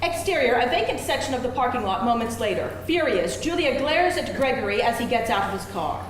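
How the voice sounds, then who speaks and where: A woman's voice: on the high side, lively intonation, brisk. A woman reading stage directions as scripted narration at a live screenplay reading. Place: live event room before an audience. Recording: very echoey room, faint noise.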